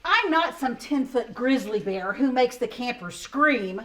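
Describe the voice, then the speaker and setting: A woman voicing a grumpy male koala who is fed up with being called a bear, read aloud from a picture book in slow, indignant rhymes. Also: on the high side, lively intonation, slight background noise. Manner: indignant, slow, loud rhyming delivery.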